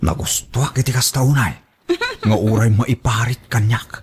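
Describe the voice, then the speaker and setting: Man acting a husky, whining, reluctant married lover. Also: medium pitch, normal speed, a little mellow. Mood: complaining. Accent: Ilocano Filipino. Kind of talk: radio drama acting.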